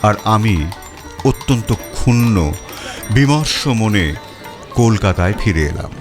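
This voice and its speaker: A man reads a scripted first-person detective story in a measured way, with deliberate pauses between phrases. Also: fairly low pitch, expressive, over music. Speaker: audiobook narrator.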